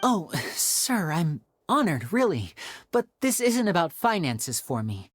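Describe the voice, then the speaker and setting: A man's voice: fairly high pitch, sing-song, unhurried, slight background noise. An actor playing a polite, proud young male stage magician in a video game, speaking with formal, theatrical courtesy. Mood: earnest, determined. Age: young adult.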